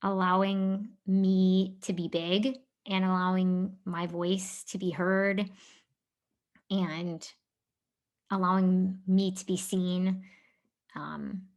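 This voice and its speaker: A woman's voice: lowish voice, vocal fry. Candid woman in casual podcast chat. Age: late 30s. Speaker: podcast co-host being interviewed.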